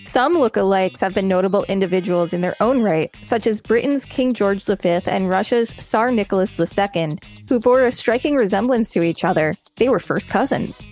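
A woman's voice: mid-range voice, normal speed, few pauses, darkish tone, faint music behind. Female podcast co-host reading aloud. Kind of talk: reading an article aloud with casual commentary.

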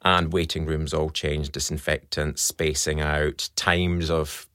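Very low-voiced Scottish man explaining. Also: level tone, fairly bright tone, clear, resonant tone, no room sound, clean studio sound. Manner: measured, explanatory, with frequent ums. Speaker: fertility specialist. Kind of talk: expert interview answers.

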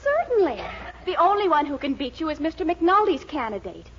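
An actress playing a brisk, confident, scheming young American sweetheart in a 1940s radio comedy, bubbly and coaxing. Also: female voice, high-pitched, sing-song, a bit bright, slight background noise. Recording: muffled 1940s radio recording.

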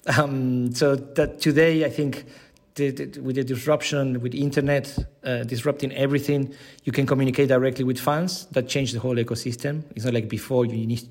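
Argentinian man, middle-aged, native Spanish speaker, medium pitch, podcast guest talking conversationally. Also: darkish tone. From Buenos Aires, Argentina. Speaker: podcast guest, digital content manager. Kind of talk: conversational interview answers.

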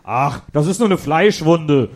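A man's voice: a little high, lively intonation, unhurried, darkish tone. A man playing a cheerfully stoic police inspector in a comedic audio drama, jovially understating everything. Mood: breezy.

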